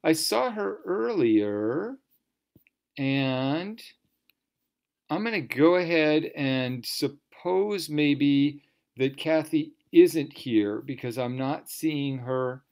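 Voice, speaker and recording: A man's voice: a little high, animated, drawn-out words, creaky, a little mellow. A man serves as MC of a virtual storytelling event, talking over a video call. He speaks off the cuff in long, playful sentences, with a mock-grand turn of phrase and a jokey touch. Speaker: host and MC of a story slam.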